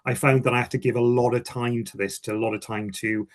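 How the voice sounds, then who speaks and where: Welsh man, mid-pitched, reflective speaker. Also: steady tone, quick pace. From Wales. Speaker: communications director giving a testimonial. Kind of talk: informal first-hand presentation.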